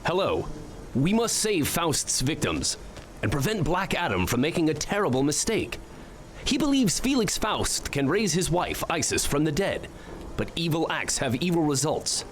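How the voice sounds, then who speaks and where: An actor playing an earnest, solemn hero who gives a moralizing mission briefing in a superhero game. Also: male voice, on the high side, sing-song, normal speed, slight background noise. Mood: earnest, urgent. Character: earnest heroic man, solemn, righteous game ally.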